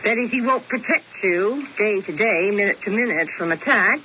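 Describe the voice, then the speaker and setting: An actress playing a cool, commanding schemer who narrates in a deliberate, theatrical 1970s radio drama style. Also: female voice, medium pitch, lively intonation, close to an everyday voice. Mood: coolly authoritative. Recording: dull, noisy 1970s radio recording.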